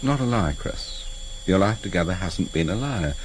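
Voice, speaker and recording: An actor playing a grave, gentle family friend in a British radio drama, sober and measured. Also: male voice, low-pitched, animated, low-quality recording. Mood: grave, reasoning. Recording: hissy vintage radio recording.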